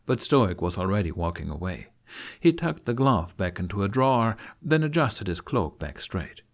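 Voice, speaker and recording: Guy with a low voice narrating fan fiction, expressive storytelling read. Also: normal speed. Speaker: podfic narrator.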